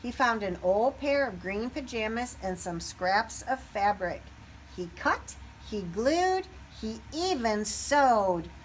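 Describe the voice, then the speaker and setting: A woman reading a children's picture book aloud expressively, pausing to put questions to young listeners. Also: fairly high pitch, lively intonation, a little hiss or hum, poor audio.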